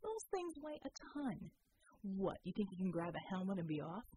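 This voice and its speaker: A woman's voice: medium pitch, very animated, normal speed, dark tone, a little hiss or hum, pitched below the performer's own voice, lightly acted. A woman voicing a sarcastic male rogue from a superhero audiobook, grumbling and scoffing. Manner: sardonic, grumbling.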